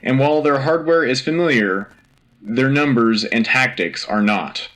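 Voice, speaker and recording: An earnest military newscaster, played by a man, with a mid-pitched, bright and forceful voice. He delivers scripted war bulletins in a crisp, patriotic, official manner. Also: animated, measured pace, poor audio. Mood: grave, resolute. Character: earnest, patriotic male wartime radio news anchor. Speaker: radio host.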